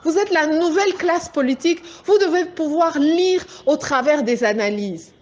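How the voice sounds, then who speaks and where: A woman activist speaks with a Congolese French accent in a high voice, addressing a group with urgent, insistent force. She repeats her key points and presses her listeners to act. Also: moderate pace, highs cut off. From Democratic Republic of the Congo. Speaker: political activist. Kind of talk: impassioned political address to a group.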